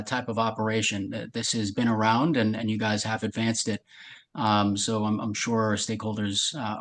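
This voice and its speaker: A man moderating a business question-and-answer call, phrasing his questions in a halting, measured way. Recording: low rumbling background noise.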